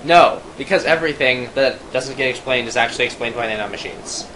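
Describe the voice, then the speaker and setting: A man with a mid-range voice banters casually over a game. He delivers his remarks deadpan, with mock authority, over faint background noise. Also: mid-range voice, fairly quick. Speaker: let's play commentator.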